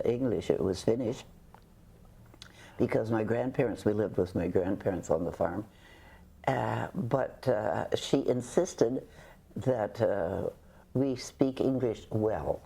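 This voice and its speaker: Older woman, interview guest, husky and mellow, halting storyteller. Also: very deep voice. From Canada. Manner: halting, with frequent restarts and hesitations. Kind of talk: reflective conversational storytelling.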